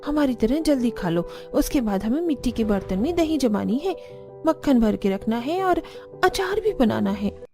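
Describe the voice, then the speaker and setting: A woman's voice: fairly high pitch, lively intonation, moderate pace. A woman voicing a bossy but resigned elder sister-in-law in a cartoon family story, with music underneath.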